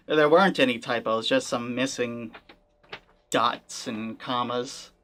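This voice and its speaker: Dude hosting a gaming channel, chill wry commentary, mid pitch. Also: male voice, slow pace, fairly bright tone. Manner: conversational, wry, hedging with fillers. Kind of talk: casual commentary.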